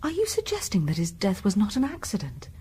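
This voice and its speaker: A woman's voice: medium pitch, wildly swooping pitch, fairly quick, mellow, a little hiss or hum. An actress playing an anxious, proud but vulnerable young Victorian lady in a period radio drama, speaking formally and defensively. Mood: distressed, guarded.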